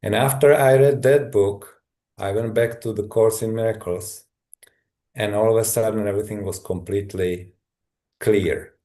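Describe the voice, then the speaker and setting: A middle-aged man from Czechoslovakia with a Czech accent, a guest telling his story in a halting, thoughtful way with many restarts. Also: on the low side, steady tone, mellow. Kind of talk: personal story in a conversation.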